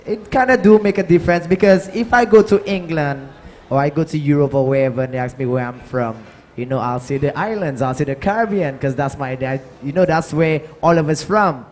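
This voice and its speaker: A man's voice: a little high, fairly quick, darkish tone. A man with a Saint Lucian accent, a soca artist talking casually on a panel. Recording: live event, faint background noise.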